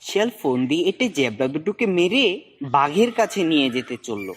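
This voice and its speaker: A storyteller narrates a children's animal fable from a script. The voice sits in a middle register and moves up and down with very lively intonation. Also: mid-range voice, brisk, slightly rough.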